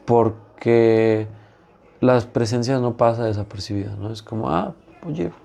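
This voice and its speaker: A man hosting a conversational interview, agreeable and quick with short affirmations. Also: medium pitch, creaky, dark tone. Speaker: podcast host.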